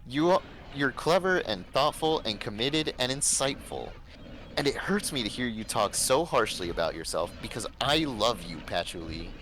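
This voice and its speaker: A man voicing a gentle, earnest young woman in love in a fan story, speaking tenderly and a little haltingly. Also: medium pitch, animated, slight background noise, pitched above the performer's own voice, lightly acted. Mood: earnest, affectionate.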